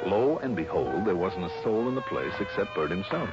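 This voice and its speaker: An actor playing a brisk, wry, streetwise insurance investigator in an old-time radio detective drama. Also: male voice, sits low, sing-song. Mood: dry, matter-of-fact. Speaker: radio drama lead and narrator. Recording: dull vintage radio broadcast, music behind.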